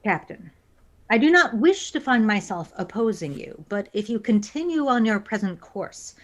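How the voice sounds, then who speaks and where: A woman's voice: mid-range voice, big pitch swings. A woman playing a cold, logical female alien first officer in a science fiction audio drama, speaking formally and precisely. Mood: coolly threatening. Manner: formal, measured, precisely worded.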